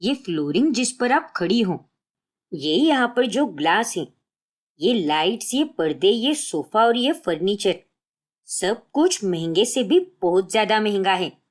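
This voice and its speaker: A woman's voice: mid-range voice, sing-song, a little slow. A woman voicing a rich, middle-aged mother-in-law in a cartoon story, boastful and smugly condescending. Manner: boastful, listing grandly.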